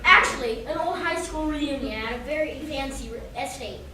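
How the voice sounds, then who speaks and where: A woman's voice: sits high, moderate pace, sharp tone. A young female performer playing a wry male police detective in an amateur old-time radio show. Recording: very echoey hall, distant mic, faint noise.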